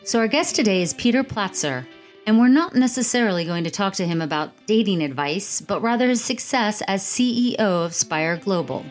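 A woman co-hosting a podcast reads the episode introduction and the guest's background in a clear, upbeat way. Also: mid-range voice, animated, normal speed, soft background music. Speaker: podcast co-host.

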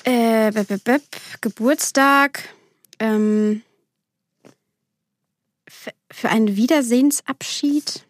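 A woman's voice: fairly high pitch, takes it slowly, pauses often, dry recording. A young woman in her twenties co-hosting a podcast in casual chat, friendly and teasing, laughing often. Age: 20s. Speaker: podcast co-host.

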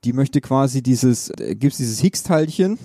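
A man recapping a series for his friends in loose, rambling sentences. His voice is mellow and warm, recorded close with no room sound. He stops, restarts and corrects himself as he goes. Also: mid-range voice, animated, a little slow, no room sound. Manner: rambling, self-correcting retelling. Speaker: podcast co-host reviewing series. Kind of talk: casual chat.

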